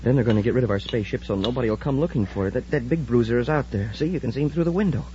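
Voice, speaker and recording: An actor playing an eager, excitable young space cadet sidekick, in a 1950s radio serial style. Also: male voice, medium pitch, very fast, lightly acted. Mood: anxious, urgent. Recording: muffled, noisy old-time radio recording.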